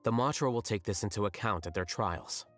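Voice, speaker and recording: Brief: actor as a detached young academic, dry and low. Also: male voice, a little fast, lightly acted. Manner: dry, deadpan, matter-of-fact. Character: aloof young male scholar, cool and blunt. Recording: game audio with faint music.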